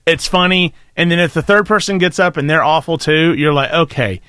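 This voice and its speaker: A man's voice: a little high, brisk, a bit bright, clear, resonant tone, no echo, clean studio sound. A man co-hosting a radio show, joking and chatty. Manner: joking, chatty, easily amused. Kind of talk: casual chat.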